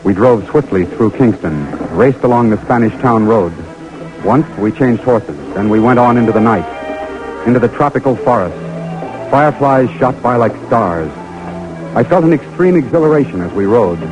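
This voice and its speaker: An actor with an American accent playing an eager young lieutenant on a secret mission, in 1940s radio drama style, on an old recording. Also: male voice, mid-range voice, animated, normal speed, highs cut off, over music, natural voice. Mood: tense, exhilarated. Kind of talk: 1940s radio drama acting and first-person narration.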